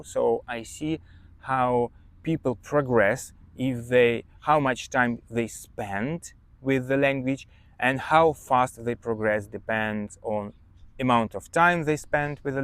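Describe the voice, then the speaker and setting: A man's voice: medium pitch, fairly bright tone, clear, resonant tone, no room sound. Russian-accented man giving study advice. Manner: chatty, emphatic, checks in with "yeah?". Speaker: podcast host, English teacher. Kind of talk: casual advice monologue.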